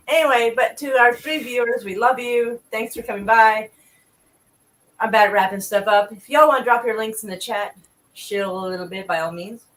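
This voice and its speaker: Chatty lady hosting a stream, kinda high voice, laughs a lot. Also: normal speed, a bit bright. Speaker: stream host. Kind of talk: casual livestream chat.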